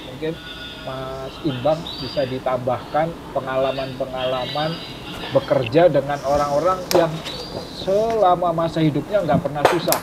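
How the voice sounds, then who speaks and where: A man moderating an online seminar discussion, speaking haltingly, repeating himself and often checking in with short tag words. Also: mid-range voice, noisy background. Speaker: webinar moderator or panelist.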